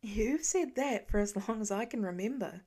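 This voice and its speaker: A woman's voice: mid-range voice, moderate pace, mellow, lightly acted. A woman voicing a wry teenage boy in an audiobook story, teasing and sniffily dismissive of his dad. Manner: sarcastic, snickering, sniffy.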